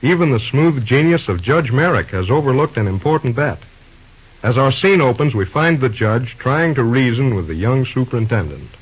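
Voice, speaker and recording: A man's voice: medium pitch, expressive, moderate pace, slightly rough. A man reading scripted scene-setting narration as the announcer of an old-time radio drama. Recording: muffled old radio show recording.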